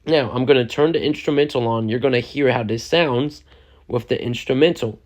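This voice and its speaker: A young man, a music producer, giving a loose, chatty step-by-step software lesson and often checking in with the listener. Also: mid-range voice, brisk, slight background noise. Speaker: music producer teaching a tutorial. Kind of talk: casual step-by-step software tutorial.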